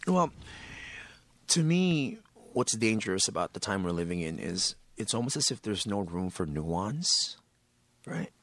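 A man whose native language is Tagalog, speaking as an author guest in a casual interview, animated and emphatic, often laughing. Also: fairly low pitch, a little slow. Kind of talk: casual conversation.

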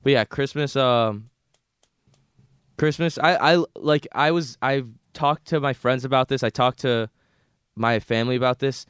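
Teen dude on college radio, laughs a lot, trips over words, smooth voice, dry mic. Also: male voice, medium pitch, a little slow, fairly bright tone, no echo. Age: late teens. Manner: chatty, laughs often, stumbling restarts. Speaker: radio co-host. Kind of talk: casual on-air chat. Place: radio studio. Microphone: studio mic.

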